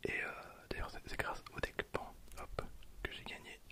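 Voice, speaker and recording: Softly whispering young man. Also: normal speed. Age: 20s. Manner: soft whisper, hesitant with frequent fillers. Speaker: ASMR creator. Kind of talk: whispered ASMR card opening. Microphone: handheld recorder behind the camera.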